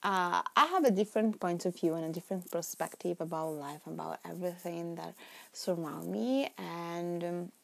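A woman's voice: on the low side, moderate pace, gravelly. A woman in her twenties from Italy, speaking English with an Italian accent, chatting casually and rambling as she hosts her own podcast, laughing often and sometimes dropping to a whisper. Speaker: podcast host. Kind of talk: casual solo podcast chat.

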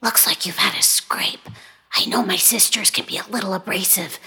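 An actress playing a frightened, timid young woman who speaks in a trembling, breathy whisper in a horror comedy radio play. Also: medium pitch, normal speed, sharp tone, in a large hall, exaggerated voice, rough recording. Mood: gentle.

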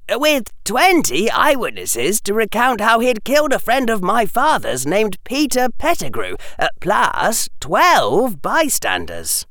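A clever young boy, played by a male narrator in a storybook reading. He is excitable and wordy, stumbling a little as he explains, with a raised and very animated pitch. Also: a little slow, no echo, clean studio sound, exaggerated voice. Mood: dismissive, serious. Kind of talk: audiobook character voice.

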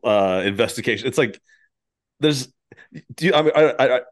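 A man's voice: mid-range voice, normal speed. A man hosting a podcast in casual chat, with an irreverent, sweary way of talking. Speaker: podcast host.

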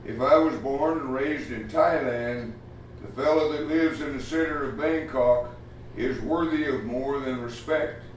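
A man preaching a sermon as pastor, plainspoken and folksy, often pausing with "uh" as he talks. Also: medium pitch, a little hiss or hum. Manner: plainspoken, folksy, halting with many uh fillers. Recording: echoey room from far off, faint ambience.

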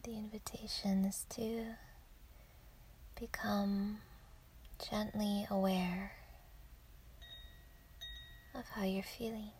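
A woman guiding a yoga nidra meditation in a soft, breathy near-whisper, gentle and soothing, with unhurried pauses. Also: medium pitch, little pitch movement, slight background noise. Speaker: meditation guide.

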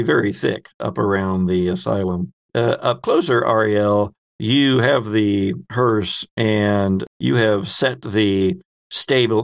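A man acting as game master, improvising descriptive narration of a tabletop game in halting phrases. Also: lowish voice, vocal fry, no echo.

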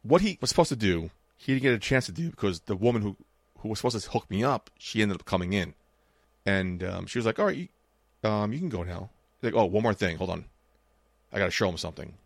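A man in his late thirties talks fast and casually on a podcast, swearing as he tells stories. His voice sits a little low and sounds dry, with no room around it. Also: animated, vocal fry, no echo. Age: 30s. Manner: swears freely, tells anecdotes with quoted dialogue. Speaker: podcast co-host. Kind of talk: casual chat.

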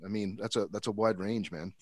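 Fast-talking male interviewer in a dry room. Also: fairly low pitch, steady tone, dry recording. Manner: eager, agreeable, quick to chime in. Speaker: interviewer host. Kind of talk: casual interview chat.

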